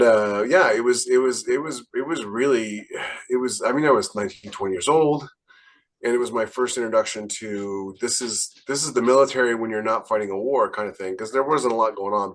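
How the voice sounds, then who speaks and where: A man's voice: on the low side, fairly quick, low-quality recording. A middle-aged American man telling casual, rambling stories on a podcast, often searching for details. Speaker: podcast panelist and military veteran. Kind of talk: casual anecdotal storytelling.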